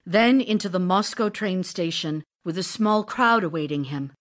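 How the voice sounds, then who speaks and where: An actress playing a grieving, longing widow in an audio drama, reading intimate letters in a tender, wistful, expressive way. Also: female voice, fairly low pitch, natural voice. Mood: mournful. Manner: tender, wistful, emotionally expressive, pausing delivery.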